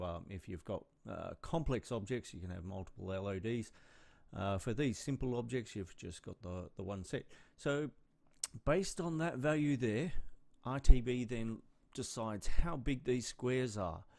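A man walking through new features of his software in a screen recording, relaxed and hesitant, with many fillers and restarts. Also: lowish voice. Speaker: software developer.

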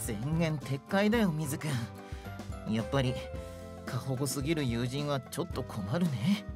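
A man acts out a composed anime youth in a radio drama. The voice is medium-pitched and lively in its swings, polite with a wry, exasperated edge. Music runs underneath. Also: normal speed, close to an everyday voice. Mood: mildly exasperated. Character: composed youth, mildly exasperated by a doting friend. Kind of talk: Japanese anime-style radio drama acting.

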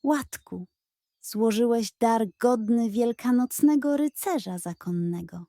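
A pompous, theatrical male pug from a children's audio story, acted by a woman. The voice is high and lifted, with grand, effusive proclamations. The recording is close and dry. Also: slow, mellow, no room sound.

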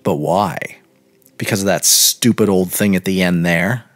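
A man voicing an impatient, scornful young man in love, speaking in a hushed, sneering way. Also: low voice, measured pace, dry recording.

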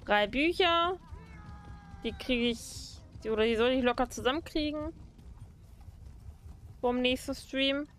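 A woman's voice: high-pitched, measured pace, long pauses, a bit bright, faint music behind. A young woman streaming a game, chatting casually with viewers and laughing often. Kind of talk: casual let's play chat.

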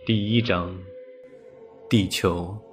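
Low-voiced male audiobook narrator over music. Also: steady tone, very slow. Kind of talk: scripted science-fiction audiobook narration.

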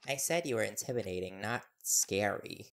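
A man's voice: fairly low pitch, moderate pace, natural voice. A man playing an earnest, nervous young reporter boyfriend in an intimate audio roleplay, hesitant and deferential.

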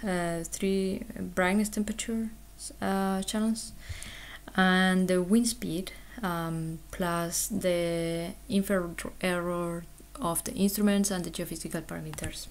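Female scientist presenting at a conference; lowish pitch, hesitant, explanatory, many filled pauses. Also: steady tone. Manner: hesitant delivery with frequent pauses and ums.

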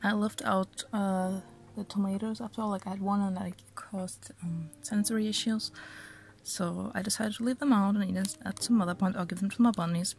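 A woman's voice: mid-range voice, steady tone, fairly quick, creaky, darkish tone. A young woman vlogging casually from a small bedroom, hesitant and chatty with many fillers, sounding a little sick. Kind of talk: casual talk to camera and food voice-over.

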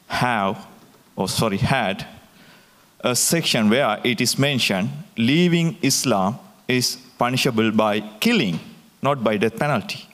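A man in his thirties speaking in a measured way on a stage panel, correcting himself as he goes. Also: mid-range voice, fairly bright tone. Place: theater stage before an audience. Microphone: handheld mic. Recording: long hall reverb.

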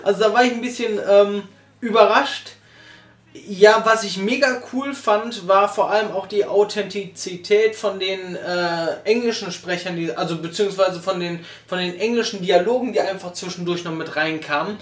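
A young man chatting casually about a review, colloquial and quick to agree. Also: sits high, a bit bright. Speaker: podcast reviewer. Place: small living room, on a sofa. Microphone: far camera. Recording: echoey room from far off.

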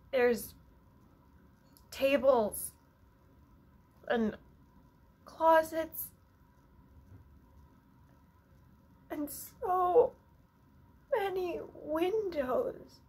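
A woman performing a stage monologue as an earnest, anxious young female musician, wistful and self-doubting, halting and reflective with long pauses. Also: high-pitched, a little mellow, exaggerated voice. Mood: wistful, lost.

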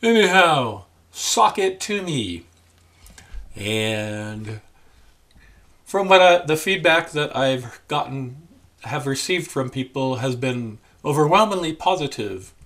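An older man, around his sixties, talking casually to the camera in a deliberate, halting, dry manner. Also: medium pitch, lively intonation, fairly bright tone. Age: older, about 60s. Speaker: memoir author addressing viewers. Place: small room at home, indoors.

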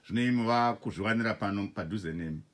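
A man interviews a shop owner from behind the camera. His voice sits fairly low, and he asks long, measured questions at an even pace.

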